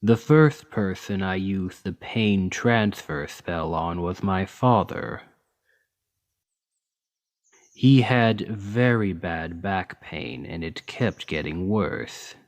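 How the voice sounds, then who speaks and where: Huge, kindly male orc healer played by a man for ASMR roleplay: low-pitched, unhurried, patient and soothing. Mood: soft, earnest. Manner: slow, gentle, reassuring, deliberate. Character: towering seven-foot male orc healer, gentle and patient. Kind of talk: ASMR roleplay spoken to the listener.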